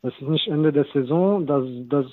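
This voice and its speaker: A man in his thirties speaks German as a second language, halting and restarting as he explains football. His voice sits a little high. It comes over a tinny, phone-like line with no room sound at all. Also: no echo. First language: not German. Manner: hesitant non-native German, many restarts. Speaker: guest, assistant football coach. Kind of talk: casual interview talk.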